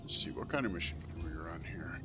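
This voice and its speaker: Low-voiced man commenting casually on a game. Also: animated, fairly quick, over loud music. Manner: musing aloud, thinking through questions. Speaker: video game streamer.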